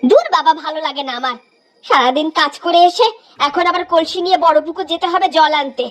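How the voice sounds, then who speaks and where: A woman's voice: high-pitched, a little fast, close to an everyday voice. A woman playing a weary, complaining, superstitious village housewife in a horror cartoon, speaking plaintively in a rustic way over music. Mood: grumbling.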